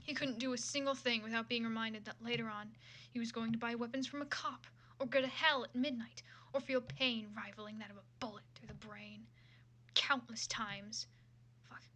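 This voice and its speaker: A woman with a fairly high, bright and forward voice reads fan fiction aloud. Her delivery is casual and irreverent, mixing the prose with loose, sweary commentary of her own. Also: fairly even intonation, moderate pace. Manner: irreverent, casually profane asides.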